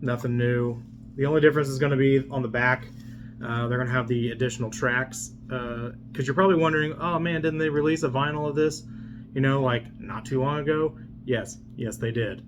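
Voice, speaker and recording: A man's voice: medium pitch, a little fast. Casual man rambling over a background hum. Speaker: vlogger presenting an unboxing. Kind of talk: casual unscripted product unboxing chat. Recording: clear steady background hum.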